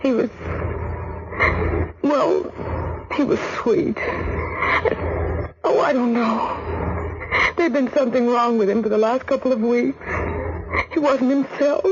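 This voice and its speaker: A grieving daughter, muffled old radio. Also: female voice, on the high side, steady tone, slow pace, long pauses. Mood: sad, troubled. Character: grieving, earnest daughter of a murdered man. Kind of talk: 1940s radio acting. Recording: muffled, hissy 1940s radio recording.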